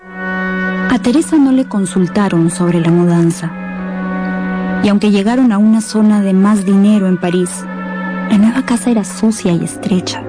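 A woman giving measured, scripted dramatic narration for a radio novel. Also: medium pitch, music in the background.

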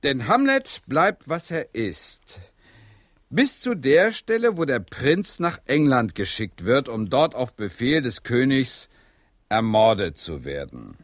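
A man's voice: mid-range voice, big pitch swings, slow pace, no echo, studio quality. A man acting in a German radio play as an eager, restless playwright who leads a troupe, speaking theatrically as he addresses his company. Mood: eager, scheming.

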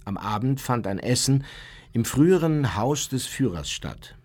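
An actor playing an unrepentant Nazi war criminal recounting his past in a measured, formal, matter-of-fact way for a radio play. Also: mid-range voice, expressive, darkish tone, slightly rough. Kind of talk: radio play first-person memoir narration.

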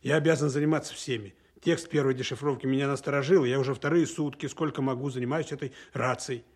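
A man acting a dutiful, overworked Soviet counterintelligence officer in a Russian radio play, earnest and frank, with a slightly husky voice. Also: medium pitch, fairly even intonation, fast talker. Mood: defensive, heated. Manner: earnest, matter-of-fact reporting. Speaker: actor in an audio drama.

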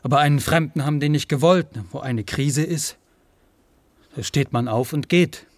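Man playing self-justifying working-class applicant, slightly high male voice, halting colloquial delivery, dry studio radio play. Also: dry recording, natural voice. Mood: brooding. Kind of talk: radio play monologue and dialogue.

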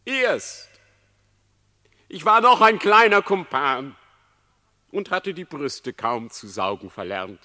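A radio-play fox, cunning and condemned. He declaims his pious confession in a high, forceful voice, drawing it out slowly, with long hall reverb trailing behind. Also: sing-song, heavily acted. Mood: pious confessing. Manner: declamatory, solemn, drawn-out public confession. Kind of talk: classic German radio play acting in verse.

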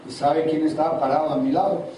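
Older preacher in an echoey hall. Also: male voice, a little high, little pitch movement, fast talker. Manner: preaches with call-and-response questions to the congregation. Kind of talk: seminar sermon. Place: large hall at a church seminar. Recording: echoey hall from far off, audible noise.

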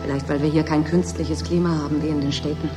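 A woman's voice: sits low, flat delivery, fast, over music, natural voice. An actress playing a bored, needy young woman at a desolate station in a German radio drama, restless and resigned.

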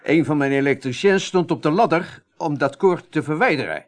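An actor as an earnest, seasoned electrical contractor. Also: male voice, on the high side, moderate pace, close to an everyday voice. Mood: earnest explaining. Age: middle-aged. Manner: explanatory, courteous. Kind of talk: Dutch radio drama acting.

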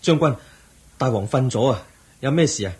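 A man's voice: mid-range voice, lively intonation, normal speed, a little mellow, lightly acted. A man acting a deferential, coaxing servant to a king in a Hong Kong Cantonese radio drama. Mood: meek. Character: fawning servant, secretly a vengeful fallen prince.

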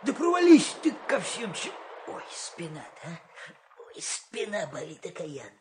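Ворчливого старика-лодочника, голос скачет. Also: male voice, sits high, wildly swooping pitch, unhurried, slight background noise, exaggerated voice. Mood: grumbling, moaning. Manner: moaning, grumbling, whining. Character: grumbling sleepy old boatman with aching bones. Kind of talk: theatrical audio fairy-tale acting.

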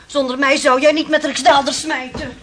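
A woman acting in a radio play as a sharp-tongued, unimpressed wife with a tart, scolding manner. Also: sits high, little pitch movement, normal speed, a little hiss or hum, lightly acted.

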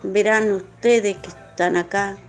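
A woman's voice: medium pitch, steady tone, measured pace. Earnest woman, music behind. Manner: earnest, rambling. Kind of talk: informal reflective interview talk.

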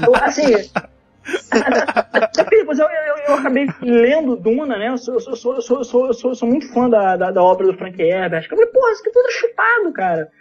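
A man's voice: extremely high pitch, lively intonation, a little fast, muffled recording. A middle-aged Brazilian man chatting casually as a podcast guest, slangy and opinionated, often repeating words as he starts. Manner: stammering repetitions, slangy, opinionated. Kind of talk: casual chat.

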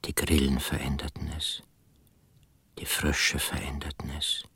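An actor playing a brooding, uneasy country husband who narrates a radio drama in a husky, hushed, intimate voice. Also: male voice, a bass voice, completely flat delivery, measured pace, dry recording, full character voice. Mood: brooding, ominous. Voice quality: husky, breathy, half-whispered. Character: brooding first-person male narrator, uneasy rural husband.

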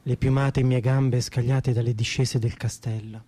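An actor playing a delirious, cheeky poet-lover in radio theatre, wooing in a florid, playful manner. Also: male voice, medium pitch, flat delivery, unhurried, lightly acted. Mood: fevered, surreal. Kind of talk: poetic radio theatre over guitar. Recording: loud guitar music over the voice.

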